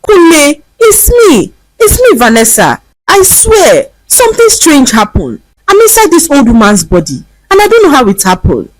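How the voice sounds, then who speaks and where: A woman voicing a spoiled, arrogant rich young woman who turns desperate and shrill. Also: huge pitch swings, normal speed, dry recording, poor audio. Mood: pleading. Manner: shrill, pleading cries.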